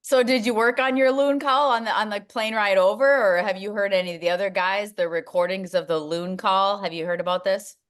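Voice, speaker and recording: A woman's voice: medium pitch, steady tone, a little fast, hardly any pauses, clean tone. A woman hosting a sports podcast, chatty and upbeat, with self-deprecating humor. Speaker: podcast host. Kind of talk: casual interview hosting.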